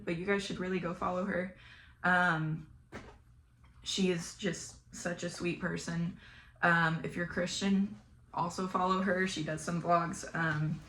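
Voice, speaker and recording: A young woman with a Southern American accent, chatting flatly and casually while doing her makeup, sometimes dropping to a mumble or whisper. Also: lowish voice, a little hiss or hum. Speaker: beauty vlogger. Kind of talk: casual get-ready-with-me chat.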